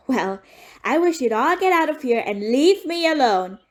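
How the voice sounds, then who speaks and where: A woman's voice: high voice, sing-song, a little slow. A woman playing a crusty, irritable, defiant mother, blunt and dismissive, in an audio play. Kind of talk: amateur audio play acting.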